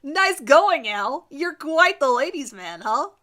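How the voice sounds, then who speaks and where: A woman voicing a big, cheerful, oblivious, lovesick teenage boy in a dramatic fan fiction reading, guileless and excitable. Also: high voice, slow, heavily acted. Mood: cheerful, excited.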